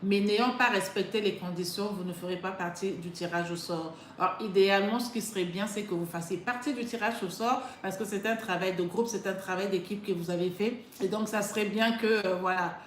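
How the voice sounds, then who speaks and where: A woman's voice: medium pitch, fairly even intonation, a little fast, hardly any pauses, echoey room, a little hiss or hum. A woman with a slight cold hosting a live prize draw, talking straight to her viewers and often repeating herself. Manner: chatty, addresses viewers directly, repeats herself. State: slightly congested with a cold, clears throat. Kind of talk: live stream talk to viewers. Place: indoors, alone.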